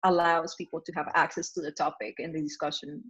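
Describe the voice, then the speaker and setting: A woman's voice: low voice, little pitch movement, fast, a bit bright, husky. Woman moderating a video call panel, introducing people, kinda muffled lo-fi sound. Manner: introducing speakers, appreciative.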